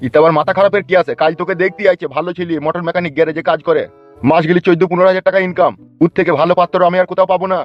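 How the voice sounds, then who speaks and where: A man's voice: high-pitched, little pitch movement, racing, lightly acted. A man voicing a hot-tempered, stubbornly proud village father in a Bengali comedy cartoon, with a rural West Bengal accent and a blustering manner. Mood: angry, stubborn. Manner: blustering, threatening. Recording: dull lo-fi sound, faint music.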